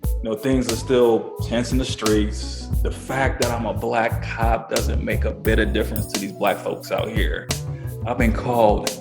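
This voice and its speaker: A man's voice: fairly low pitch, little pitch movement, unhurried, vocal fry, over music. A man acting in an audio drama as a blunt, tired cop who needles his friend in colloquial, probing talk. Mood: weary, casual.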